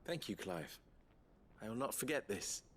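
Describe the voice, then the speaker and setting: An actor playing an urgent, commanding and honorable town leader in a video game, speaking formally and earnestly. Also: male voice, lowish voice, very animated, moderate pace, husky, exaggerated voice. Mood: solemn. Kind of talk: dramatic video game acting. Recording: loud music behind.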